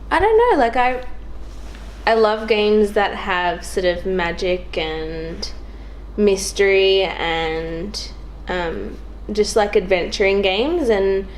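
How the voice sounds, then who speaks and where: A young woman talks casually as a guest on a podcast. Her delivery is informal and a little hesitant, as if thinking aloud. The pitch is average for a woman, and there is background noise in the recording. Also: low-quality recording. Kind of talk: casual chat.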